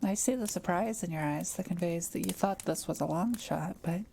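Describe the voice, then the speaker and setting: Kind witch for audio roleplay, female performer: relaxed, crackly low-leaning voice, gentle and thoughtful, quietly proud of her craft. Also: normal speed, gravelly. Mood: proudly confident. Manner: gentle, hesitant, explanatory musing with small hums. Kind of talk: intimate audio roleplay addressed to the listener.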